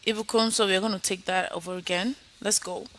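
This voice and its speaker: A woman's voice: mid-range voice, fairly even intonation, brisk, fairly bright tone. A woman teaching an online IELTS speaking lesson, coaching students and then running a mock exam. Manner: coaching, encouraging, repeats "okay?". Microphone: video call.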